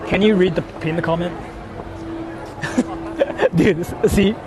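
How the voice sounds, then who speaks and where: A man's voice: high-pitched, steady tone, fairly quick, crowd noise. A man working as a livestreamer, chatting casually with jokey slang as he interviews people outdoors. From Japan. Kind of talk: casual street livestream chat. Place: outdoors on a Tokyo street. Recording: noisy crowded city street.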